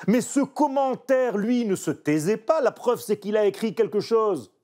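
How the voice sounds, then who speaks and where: A man, a painter speaking French as a second language, reflecting emphatically in an interview. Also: sits high. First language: not French. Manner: animated, emphatic, searching pauses. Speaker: painter guest.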